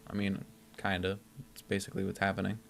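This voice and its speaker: A man's voice: sits low, monotone, fast, vocal fry, slight background noise. A man hosting a reaction video, talking casually in informal, slangy speech. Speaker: YouTube reaction host.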